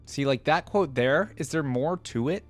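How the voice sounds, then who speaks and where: A woman's voice: deep voice, moderate pace, soft background music. A woman giving casual, off-the-cuff reaction commentary, musing thoughtfully as she goes. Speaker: reaction video host.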